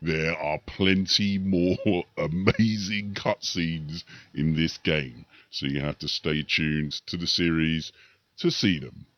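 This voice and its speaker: A man's voice: a bass voice, fairly even intonation, slow, no echo, dull audio. A man hosting and narrating a war game battle report, with emphatic, theatrical commentary. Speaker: host and narrator.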